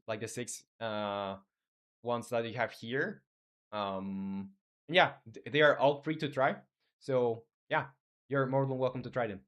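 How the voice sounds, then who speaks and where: Guy streaming his game dev, average pitch, casual and kinda hesitant, chatting with viewers. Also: smooth. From Chile. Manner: informal, hesitant, reads viewer messages aloud and replies. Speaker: game developer live streamer. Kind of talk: casual livestream chat.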